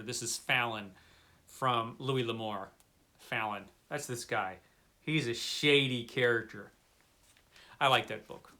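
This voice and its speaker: A man's voice: medium pitch, pushed and bright. A middle-aged man talking casually and unscripted to camera as a book vlogger, with a dry, playful manner and a habit of repeating himself.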